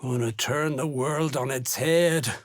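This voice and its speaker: Gruff, raspy, menacing dwarf slave hunter. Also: male voice, mid-range voice, takes it slowly. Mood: blunt, scornful. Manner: blunt, threatening, folksy rustic turns of speech. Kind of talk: video game character dialogue.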